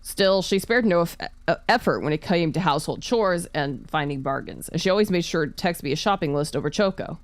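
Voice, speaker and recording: A woman's voice: lowish voice, brisk, hardly any pauses, dry recording. A woman streamer reading visual novel narration aloud, with casual asides. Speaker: VTuber streamer.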